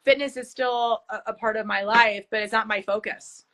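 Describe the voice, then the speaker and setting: A woman in her thirties with a bright, forward voice talks fitness as a podcast co-host. She is chatty and enthusiastic, and her casual talk is full of fillers like "you know" and "like.". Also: fairly high pitch, steady tone, moderate pace. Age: 30s. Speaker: fitness and nutrition coach, co-host.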